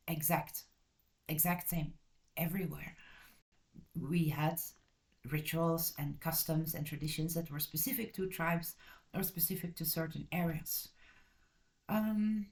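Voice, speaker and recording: A woman from Belgium talks through a book in a loose, casual review, her voice sitting a little low. She restarts and corrects herself often and drifts into tangents, and her manner is blunt and direct. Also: darkish tone. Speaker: channel host reviewing a book.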